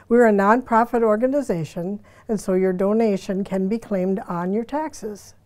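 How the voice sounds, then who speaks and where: A woman's voice: mid-range voice, warm tone. An older woman, a community theater founder, delivering a scripted welcome and appeal. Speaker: theater troupe founder, presenter.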